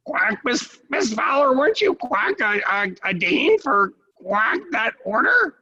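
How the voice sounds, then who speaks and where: A performer voicing a silly purple duck mascot who quacks between sentences and shouts cheers in a school awards skit. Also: medium pitch, big pitch swings, a little slow, husky, dull audio, heavily acted. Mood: chatty.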